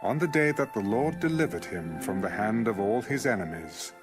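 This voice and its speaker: A man's voice: on the low side, animated, normal speed. A man narrating an audio Bible in a scripted, dramatized scripture style. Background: background music.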